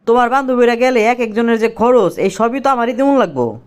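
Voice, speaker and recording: Woman voicing an exasperated henpecked Bangladeshi husband, swooping pitch. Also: lively intonation, fairly quick, lightly acted. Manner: complaining, incredulous. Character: exasperated, henpecked young husband pleading with wife. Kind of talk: comic cartoon dialogue in colloquial dialect.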